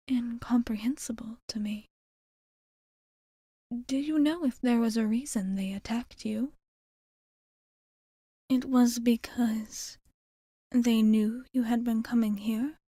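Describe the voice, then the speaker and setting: A woman voicing a composed, protective vampire bartender in a soft, formal ASMR roleplay, half-whispered close to the microphone. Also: fairly high pitch, no echo. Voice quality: soft, breathy, often near a whisper. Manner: formal, measured, hesitant wording. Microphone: close microphone, dry sound.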